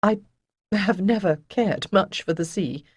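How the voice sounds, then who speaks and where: A narrator voicing a gentle, seasick noble lady who speaks softly and politely. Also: medium pitch, measured pace, slightly rough, dry recording, voice pushed up. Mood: uneasy.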